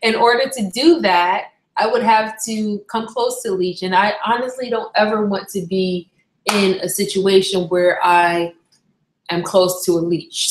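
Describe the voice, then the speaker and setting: A young woman from Barbados chatting casually on a live stream, candid and rambling, often correcting herself mid-sentence. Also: lowish voice. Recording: echoey room.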